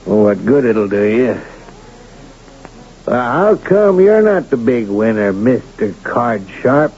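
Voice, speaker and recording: An American actor playing a crooked, domineering town boss and gambler in a Western radio drama, with rough colloquial talk. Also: male voice, mid-range voice, big pitch swings, slow, darkish tone, exaggerated voice. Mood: bossy, impatient. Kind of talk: old-time radio drama acting. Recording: muffled, noisy old radio recording.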